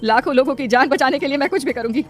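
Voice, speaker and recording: Woman playing an anxious, quick, high-voiced village aunt. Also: faint music behind, lightly acted. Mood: anxious pleading. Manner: urgent, pleading. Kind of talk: Hindi horror cartoon voice acting.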